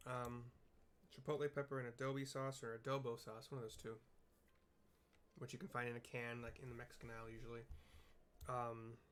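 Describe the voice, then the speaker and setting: A man's voice: medium pitch, fairly bright tone, slight background noise. A man rambling casually as he narrates his gameplay, drifting into side topics. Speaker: gameplay streamer narrating a game.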